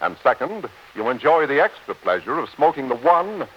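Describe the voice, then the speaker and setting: A man's voice: medium pitch, very animated, moderate pace, husky. A man announcing in polished 1940s radio commercial style, on a dull old recording with music behind him.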